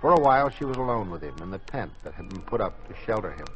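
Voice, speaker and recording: A man's voice: lowish voice, very animated, quick pace. A man giving dramatic scripted narration in the style of 1950s radio. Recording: dull old radio recording, music behind.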